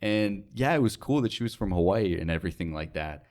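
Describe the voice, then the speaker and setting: A young American man with a very low voice speaks in a casual interview. He is earnest and reflective, leaning on colloquial filler as he thinks things through. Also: animated, brisk, darkish tone. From North Dakota, United States. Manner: informal, reflective, earnest, with frequent "like". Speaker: interview guest, radio sales associate.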